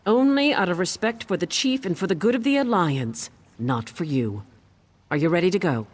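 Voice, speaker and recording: An actor playing a gruff, resentful female ship captain in a fantasy game, curt and grudging. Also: mid-range voice, animated, normal speed, lightly acted.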